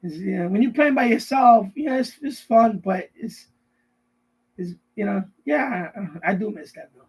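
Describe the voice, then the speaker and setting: A middle-aged man with a notably high voice that has a husky, rough edge. He chats casually as a live stream host, wandering from thought to thought and circling back to repeat himself. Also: animated, normal speed, a little mellow, low-quality recording. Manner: rambling, repeats himself often. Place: basement.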